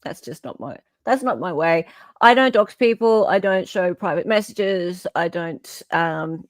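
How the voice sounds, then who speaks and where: A woman's voice: on the low side, expressive, unhurried, dry recording, clean studio sound. A woman with an Australian accent hosting a casual live chat, emphatic and given to repeating phrases for effect. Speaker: livestream host.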